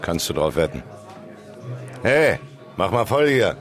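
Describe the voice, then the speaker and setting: An actor playing a brash, seasoned crime reporter in a radio drama, curt and wry. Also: male voice, low-pitched, sing-song, unhurried, faint music behind. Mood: casual, wry. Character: brash, seasoned male crime reporter mentoring his trainee. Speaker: newspaper reporter.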